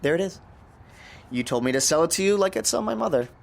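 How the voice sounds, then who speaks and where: A scheming tech worker in an audio drama, a man with a slightly high voice. He is persuasive and domineering, with an unhinged edge. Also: lively intonation, fast talker, gravelly.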